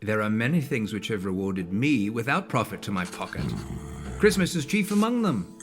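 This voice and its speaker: An actor playing a miser's cheerful, warm-hearted nephew in a radio play, hearty and earnest. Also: male voice, on the low side, sing-song, moderate pace, over music. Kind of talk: theatrical radio-play acting.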